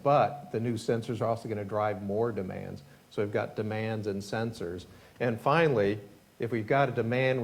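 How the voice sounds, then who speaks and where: A man moderating a conference panel, introducing the speakers in a measured, explanatory way. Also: mid-range voice. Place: conference room.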